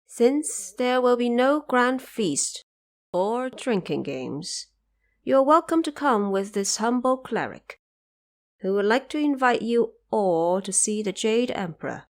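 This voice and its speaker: A woman acts out a compassionate goddess in an audio drama. She is calm and courteous, with a formal, measured delivery that carries quiet command. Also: a little high, heavily acted.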